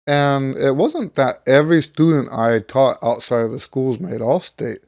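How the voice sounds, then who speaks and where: Slightly hoarse middle-aged American man, dry recording. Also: mid-range voice, a little slow, a little mellow, no echo. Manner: rambling, conversational, thinking aloud. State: slightly hoarse, getting over losing his voice. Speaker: trumpet teacher. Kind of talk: casual talk to camera, personal anecdote.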